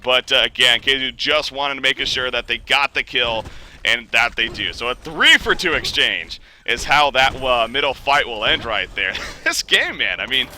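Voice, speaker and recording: A man casting an online game match, calling plays with excitement and joking with his co-caster. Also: a little hiss or hum. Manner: excitable, joking, rising pitch on big plays. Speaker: esports caster. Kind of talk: play-by-play game commentary.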